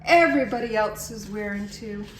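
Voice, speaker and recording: A woman voicing an eager, excitable female iguana in a storybook, exclaiming and repeating herself. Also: mid-range voice, normal speed, reverberant, slight background noise, natural voice, low-quality recording. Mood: excited.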